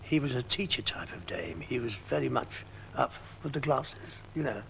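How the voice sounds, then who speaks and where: Gravelly guy half whispering old memories, faint hiss. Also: mid-range voice, expressive, rough recording. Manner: hushed, halting recollection. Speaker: interviewee.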